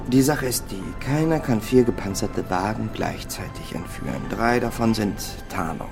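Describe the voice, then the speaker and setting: An actor playing a casual, persuasive heist partner with an offhand, colloquial way of talking in a crime radio drama. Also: male voice, lowish voice, moderate pace, creaky, music in the background. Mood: confiding, offhand. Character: casual male heist partner pitching a job.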